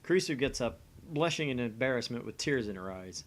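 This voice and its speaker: A man's voice: medium pitch, lively intonation, fairly quick. A man reading scripted visual novel narration aloud as the host of a gaming channel.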